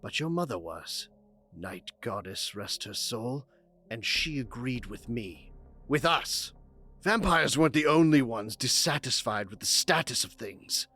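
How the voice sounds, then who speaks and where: Forceful, animated vampire councilman. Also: medium pitch, measured pace, soft background music. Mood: defiant. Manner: emphatic, declamatory. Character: forceful, defiant male vampire council member. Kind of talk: dramatic audio drama acting.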